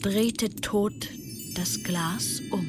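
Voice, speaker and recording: A woman's voice: mid-range voice, extremely slow. A woman delivering dramatic scripted narration as the narrator of a radio play. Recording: loud music behind the voice.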